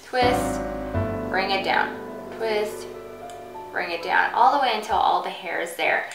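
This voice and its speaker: A woman's voice: mid-range voice, measured pace, reverberant, over music. A woman hosting a casual step-by-step hairstyling tutorial, explaining in an instructional way.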